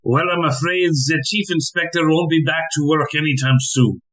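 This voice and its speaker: An actor playing a deferential, hesitant police officer in a comedic audio play. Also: male voice, fairly high pitch, steady tone, normal speed, a bit bright. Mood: regretful.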